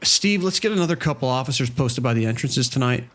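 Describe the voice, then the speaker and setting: Casino manager, male actor: man, average pitch, level-headed, cautious, everyday conversational delivery for radio drama. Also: fast, natural voice. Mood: calm, businesslike. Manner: casual, steady, giving instructions.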